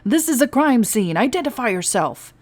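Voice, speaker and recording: A woman's voice: fairly high pitch, sing-song, normal speed, voice pushed up. A woman voicing a stern, weary male guardsman in a storybook reading, firm, terse and businesslike. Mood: barking.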